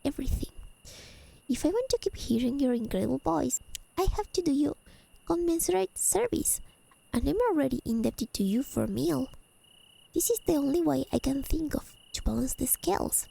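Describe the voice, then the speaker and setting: A high, mellow voice with a creaky edge from a woman playing a haughty fae lady of the high realms. She is teasing and possessive, with elegant, formal phrasing. It is soft-spoken ASMR roleplay, with crickets chirping in the background. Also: expressive, normal speed, exaggerated voice, poor audio. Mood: earnest, insistent.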